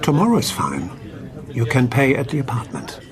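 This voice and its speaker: An actor playing a calm, principled doctor in a radio play, speaking in a measured, formal, explanatory way. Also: male voice, medium pitch, crowd noise. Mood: genial. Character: calm, principled male doctor at an assisted-dying clinic.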